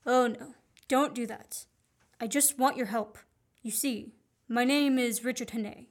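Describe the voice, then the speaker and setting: A woman's voice: a little high, fairly even intonation, a little slow. Woman playing an earnest young male fugitive. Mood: urgent, pleading. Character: Richard Hannay, earnest young man, innocent fugitive. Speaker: student actor. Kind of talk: radio play acting.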